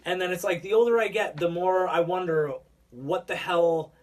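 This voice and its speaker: A man co-hosting a casual chat, speaking haltingly and leaning heavily on filler words like "like". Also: high voice. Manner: halting, heavy use of "like". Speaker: co-host. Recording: roomy distant mic, faint noise.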